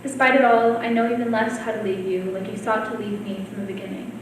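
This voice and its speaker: A teenage girl, a high school student, reciting her own poem at a reading. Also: flat delivery, fast. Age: teens. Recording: very echoey room, distant mic, faint noise.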